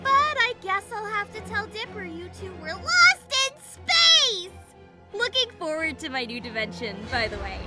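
A woman voicing a smug, scheming evil girl in an animated comic dub, theatrical and taunting. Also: very high-pitched, moderate pace. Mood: mock regretful. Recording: loud music behind the voice.

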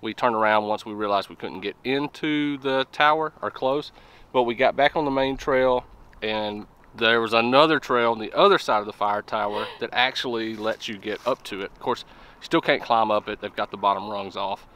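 A man's voice: on the low side, normal speed, smooth. A man in his thirties with a Southern accent, chatting easily outdoors while hiking, filmed up close in the woods. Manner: relaxed, easygoing, drawls "fixing to". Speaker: vlogger. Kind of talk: casual hiking vlog chat.

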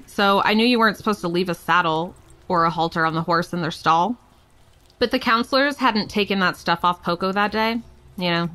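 A woman's voice: medium pitch, a bit bright, natural voice. An actress playing a defiant, playful young woman telling her story in a frank, wry, casual way for an audio drama. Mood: wistful.

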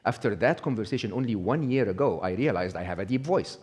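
A man's voice: on the low side, lively intonation, fast talker, darkish tone, breathy edge. A middle-aged man giving a live talk, conversational, checking in with the audience with a frequent "okay?". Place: small indoor venue with brick wall.

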